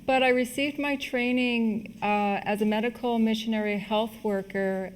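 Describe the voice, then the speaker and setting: A woman giving a public health lesson in short, plain segments, pausing for an interpreter. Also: on the high side, steady tone, a little hiss or hum. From Canada. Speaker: health lecturer, medical missionary.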